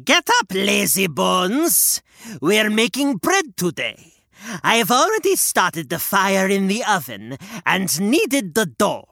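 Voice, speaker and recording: A wicked old witch, as a storyteller puts her on, her voice sliding wildly up and down in slow, drawn-out screeches. The sound is close and dry, with no room around it. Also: medium pitch, huge pitch swings, creaky, bright, forceful tone, no echo, heavily acted. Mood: bossy. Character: wicked old female witch, greedy and cruel.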